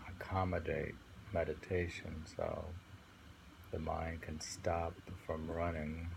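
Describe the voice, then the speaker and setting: A man with a deep, creaky voice reads poetry and reflects on it informally. He takes his time, pausing to muse as he goes. The amateur recording carries faint noise. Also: darkish tone, rough recording. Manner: contemplative, pausing to muse between phrases. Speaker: poetry reader and commentator. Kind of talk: slow poetry reading with informal reflective commentary.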